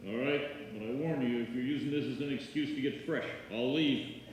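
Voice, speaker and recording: A man playing a sardonic, deadpan neighbor who delivers sarcastic wisecracks in live old-time radio comedy. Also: medium pitch, moderate pace, close to an everyday voice. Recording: noisy, very echoey live hall.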